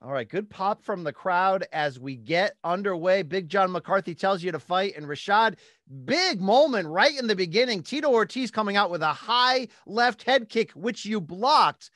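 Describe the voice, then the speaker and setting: A man's voice: high-pitched, expressive, moderate pace, fairly bright tone, no echo, studio quality. An American man in his forties hosting a sports podcast, giving punchy, emphatic breakdowns and putting questions to his guest. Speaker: podcast host, interviewer. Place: small home studio with posters. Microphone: studio mic on a boom arm, close.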